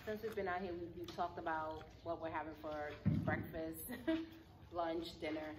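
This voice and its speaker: A woman in her thirties chatting casually for her vlog outdoors, laughing often and getting lively when she tells stories. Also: low-pitched, normal speed. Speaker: vlogger. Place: outdoor courtyard. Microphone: camera mic. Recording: outdoor camera audio with noise. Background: outdoor ambience and animals.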